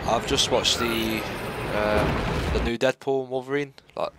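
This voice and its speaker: A British man streams a video game in a chatty, informal way, drifting between reactions and small talk. His voice is mid-pitched and sits in very loud background noise. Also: steady tone, unhurried. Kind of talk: casual live game commentary, chatting with viewers. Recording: very noisy stream, game sound behind.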